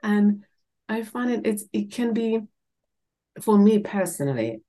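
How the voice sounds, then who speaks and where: A woman's voice: medium pitch, animated, a little slow, mellow. A woman from the Czech Republic with a Czech accent, hosting a podcast in a casual, conversational way. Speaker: podcast host.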